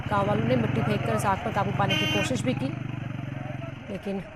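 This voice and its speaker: A woman's voice: medium pitch, steady tone, a little fast, very noisy. A woman news anchor reading a scripted news bulletin.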